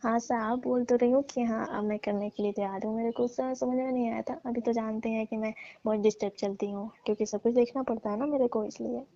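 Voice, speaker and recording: Soft mellow woman, pleading on a dull roomy phone recording. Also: on the high side, steady tone, fast. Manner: pleading, deferential. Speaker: domestic worker on a call with employer. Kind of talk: private phone conversation.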